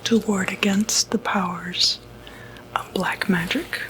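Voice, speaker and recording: A woman whispering breathily in an ASMR medical roleplay, playing a reassuring doctor with a hesitant, gentle bedside manner. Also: medium pitch, fairly even intonation, slow pace, poor audio. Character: calm, reassuring doctor who treats demonic possession.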